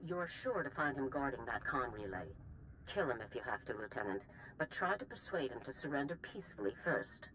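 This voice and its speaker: A woman playing a stern, authoritative general who speaks in a measured, commanding way in a game cutscene. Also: extremely low pitch, expressive, a bit bright, a little hiss or hum, rough recording. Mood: firm, urging. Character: stern, authoritative female general briefing a soldier. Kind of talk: video game cutscene acting.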